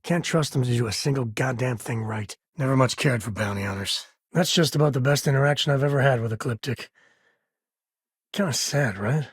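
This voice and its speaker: Husky wry space explorer played by a man. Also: mid-range voice, fairly quick, dry recording, lightly acted. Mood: wry, sardonic. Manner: folksy, dry, sardonic. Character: wry, warm space explorer and gruff-hearted dad. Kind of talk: video game voice acting.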